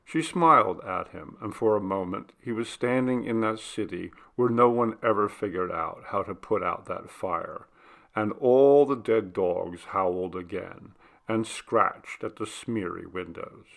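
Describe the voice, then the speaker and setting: A man reading a literary short story aloud as narration, with a gravelly voice. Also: lowish voice, measured pace, a bit bright. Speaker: audiobook reader.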